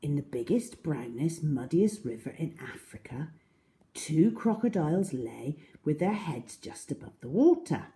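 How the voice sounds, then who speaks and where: A woman reading to children like a primary teacher, expressive, with deliberate emphasis. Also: low-pitched, reverberant. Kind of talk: children's storytelling, expressive narration.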